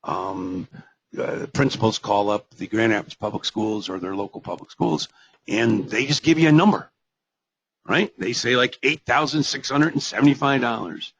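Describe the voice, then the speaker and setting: Older man, gravelly hoarse webinar presenter. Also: mid-range voice, moderate pace, a bit bright, no room sound. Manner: folksy, digressive, with rhetorical questions and many fillers. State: losing his voice. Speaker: webinar presenter on special education. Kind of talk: conversational live webinar presentation. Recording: dull, lo-fi webinar audio.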